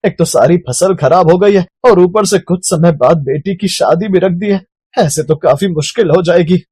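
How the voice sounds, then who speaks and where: Man acting village farmer father, earnest, raspy, close dry sound, cartoon moral tale. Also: high-pitched, normal speed, warm tone, dry recording. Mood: worried. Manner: lamenting, earnest. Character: anxious male village farmer, a worried father.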